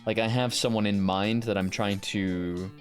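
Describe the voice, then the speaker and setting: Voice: sits low, steady tone, normal speed, soft background music. A livestreamer and voice actor chatting casually and thinking aloud as they go.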